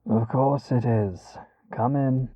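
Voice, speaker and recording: A man's voice: medium pitch, fairly even intonation, slow, highs cut off, a little hiss or hum, close to an everyday voice. An actor playing a morbidly fascinated, learned grave robber in an audio drama, relishing his words and dropping into whispers. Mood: weary, irritated.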